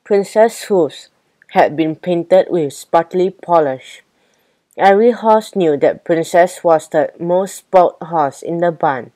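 Female children's audiobook narrator, slightly low pitch, unhurried scripted read, dry recording. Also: mellow, dry recording. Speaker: storybook narrator.

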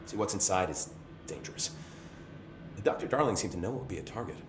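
A man's voice: deep voice, lively intonation, fast talker, noisy background. A man voicing a young security chief, wisecracking and chuckling in a casual way. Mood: wry. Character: young male security chief, wry, jokey. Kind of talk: video game cutscene. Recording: echoey room.

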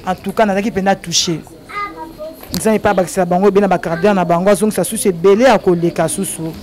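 A woman's voice: mid-range voice, fairly even intonation, brisk, a little mellow, slight background noise. Earnest woman preaching outdoors. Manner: earnest, preaching tone, tearful at times. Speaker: NGO president visiting an orphanage. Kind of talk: impromptu speech to a crowd. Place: outdoor courtyard of an orphanage. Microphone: handheld microphone.

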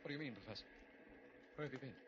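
A man's voice: medium pitch, very fast, background noise, close to an everyday voice. A man playing a haunted radar scientist narrating. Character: shaken radar scientist narrating his ordeal. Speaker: narrator and lead character. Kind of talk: 1950s radio drama acting. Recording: dull old radio recording with music.

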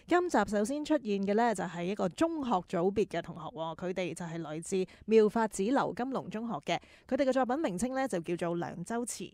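A woman's voice: mid-range voice, expressive, fairly quick, hardly any pauses, no room sound, clean studio sound. A woman with a Hong Kong accent presenting a radio show in a chatty, conversational way. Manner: frequent softening particles, conversational. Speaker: radio host.

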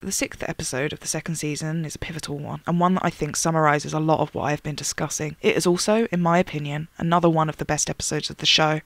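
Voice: mid-range voice, steady tone, quick pace, vocal fry, hardly any pauses, background noise. A narrator with a slight lisp giving a wry, sweary, emphatic video essay rant.

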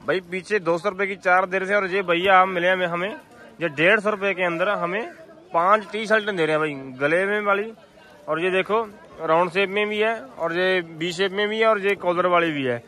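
A man's voice: high-pitched, fairly even intonation, normal speed, bright, forceful tone. A man giving repetitive, sales-like running commentary to viewers as a street market vlogger, asking vendors prices. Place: busy outdoor street market.

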